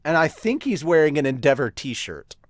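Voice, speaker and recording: A man's voice: a little high, sing-song, normal speed, no echo. Chatty man reacting, dry close sound. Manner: chatty, laughs, restarts. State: hiccups. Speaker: podcast host reviewing manga. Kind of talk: casual reaction commentary.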